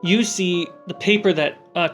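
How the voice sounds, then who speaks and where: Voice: mid-range voice, normal speed. A game master narrating a tabletop roleplaying game, describing scenes piece by piece. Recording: background music under the voice. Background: music.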